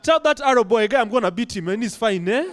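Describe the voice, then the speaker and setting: High-voiced Kenyan man doing stand-up. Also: expressive, fast. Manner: conversational, crowd-teasing, switching English and Swahili. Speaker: stand-up comedian. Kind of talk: stand-up set. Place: comedy club stage. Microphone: handheld stage mic.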